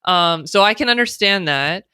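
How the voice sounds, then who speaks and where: American woman guest, measured and explanatory, clean dry recording. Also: fairly low pitch, lively intonation, bright, forceful tone, smooth, no echo. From United States. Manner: thoughtful, speaks in long explanatory runs with fillers. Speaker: guest, diversity consultant. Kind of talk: conversational interview.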